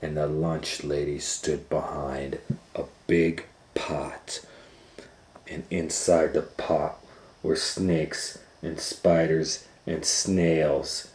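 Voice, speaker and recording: A man reading a children's storybook aloud as host, in an animated storytelling style. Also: very deep voice, very slow. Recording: roomy echo.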